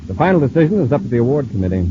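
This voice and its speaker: An actor playing a calm, confident male space commander with an easygoing, reassuring authority in a 1950s radio drama. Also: mid-range voice, rapid-fire, lightly acted. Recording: muffled, hissy old radio transcription.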